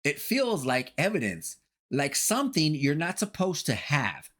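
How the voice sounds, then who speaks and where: A man delivers a scripted video essay as its narrator, analyzing horror. His voice sits a little high and moves with a very lively range of intonation. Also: unhurried.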